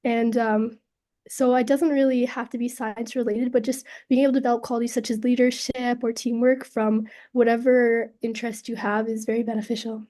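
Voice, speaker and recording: A young woman, a university student panelist, answering casually and a little hesitantly, her voice hoarse from a cold. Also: a little high, flat delivery, brisk, warm tone. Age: young adult. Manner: hesitant, frequent fillers and apologies.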